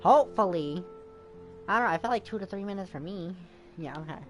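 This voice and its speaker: A woman's voice: medium pitch, expressive, brisk. A woman giving chatty, slangy live gameplay commentary, often talking to the game. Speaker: game streamer. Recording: game music behind the voice. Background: video game music.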